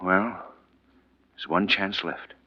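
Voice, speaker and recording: An actor playing a desperate, weary professor who stammers urgently in a 1940s radio mystery. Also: male voice, sits low, steady tone, takes it slowly, pushed and bright, breathy edge, no echo, full character voice. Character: weary, desperate professor falsely suspected of murder. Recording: dull vintage radio recording.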